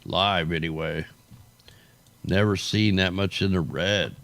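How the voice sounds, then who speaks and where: A man with a low, creaky voice hosts a casual livestream. He sounds tired, with his voice worn out. His commentary is off the cuff, rambling and full of slang. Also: fairly even intonation, slow pace, fairly bright tone, dry recording. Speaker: livestream host.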